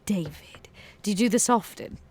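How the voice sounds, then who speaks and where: A woman's voice: medium pitch, lively intonation, normal speed, a little mellow, dry recording. A woman playing a tabletop role-playing game, speaking in character with a teasing, flirtatious manner. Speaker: player.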